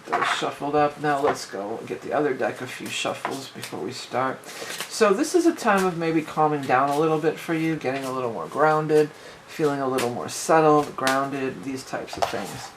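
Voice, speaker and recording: A woman with a raspy, sore-throated voice, chatting loosely through a casual tarot reading, with asides and restarts. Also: extremely low pitch, expressive, moderate pace, heavy background noise. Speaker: tarot reader, channel host.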